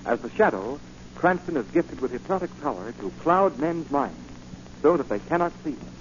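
American male announcer, 1940s radio, highish voice, emphatic, slight noise. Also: expressive, moderate pace. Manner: formal, emphatic. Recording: dull 1940s radio recording, faint noise.

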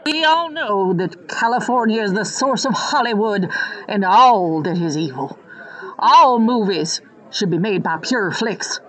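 A woman's voice: mid-range voice, animated, measured pace, a bit bright, poor audio. A woman playing a pious, nostalgic small-town matriarch with a folksy, preachy manner in a satirical radio play.

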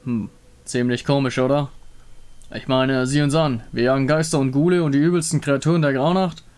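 A man voicing a gruff, irritable warrior who hunts monsters in a game story, brusque and grumbling. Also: mid-range voice, steady tone, brisk, darkish tone, natural voice. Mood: wary. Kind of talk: fan voice-over of a game story.

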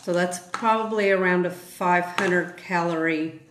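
A woman's voice: fairly low pitch, a little slow. A middle-aged woman helping with a cooking demonstration in a small indoor room, asking practical questions in a matter-of-fact way, recorded by a phone across the table. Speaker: helper and taster. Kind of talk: casual chat. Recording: echoey room, faint background noise.

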